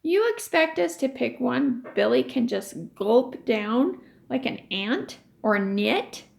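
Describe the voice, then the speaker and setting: Woman reading as an exasperated, high-pitched pushy boy. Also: sing-song, slow, vocal fry, heavily acted. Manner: exclaiming, insistent. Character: exasperated, pushy young boy arguing with friends. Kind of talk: children's storybook read aloud.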